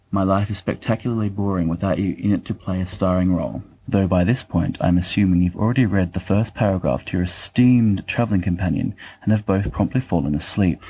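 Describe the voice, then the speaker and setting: A man playing a wry, bookish young letter-writer, pedantic and affectionate, in a dramatic reading with a husky voice. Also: deep voice, mellow, a little hiss or hum, low-quality recording. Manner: pedantic, dryly self-deprecating, wordy.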